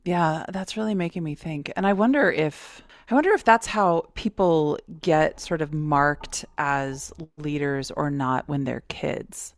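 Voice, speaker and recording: Low-voiced outspoken woman podcast host. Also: measured pace, hardly any pauses, dry recording, clean studio sound. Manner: loud, outspoken voice. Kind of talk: casual conversation.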